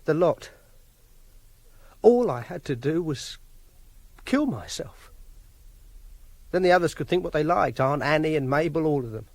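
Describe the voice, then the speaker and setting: An actor playing a quiet, awkward, obsessive loner, speaking a halting, self-pitying confessional monologue in a radio drama. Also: male voice, on the high side, lively intonation, dry recording. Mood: resigned, self-pitying. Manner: halting, plain-spoken, brooding. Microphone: close, dry studio microphone.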